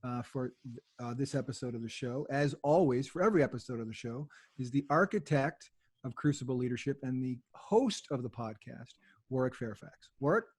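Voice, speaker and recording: Podcast co-host, man, mid-pitched, upbeat and chatty, talks to listeners. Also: fairly quick, husky. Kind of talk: podcast introduction.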